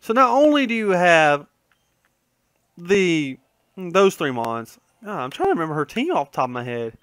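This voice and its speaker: A man's voice: high voice, sing-song, normal speed, fairly bright tone, no room sound, studio quality. A man giving casual, rambling gaming commentary, conversational and opinionated, often trailing off and correcting himself. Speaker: gaming video host.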